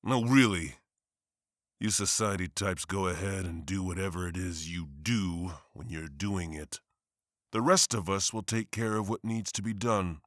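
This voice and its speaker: An actor playing a defiant district attorney in an animated comic, sardonic and forceful. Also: male voice, low-pitched, unhurried, dry recording, studio quality. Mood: bitter sarcasm.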